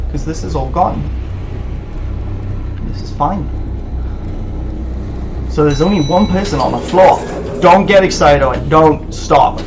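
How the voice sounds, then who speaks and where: A man giving live commentary on a horror game, muttering nervously to himself and breaking into excited bursts. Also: on the high side, noisy background. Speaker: let's play host.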